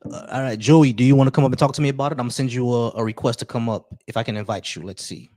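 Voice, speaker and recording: A man chats loosely on a live stream, welcoming viewers and trailing into little restarts. His voice sits at an average pitch for a man. The tone stays casual and unhurried. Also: fairly even intonation. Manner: laid-back, shout-outs to viewers, many restarts. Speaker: livestream host.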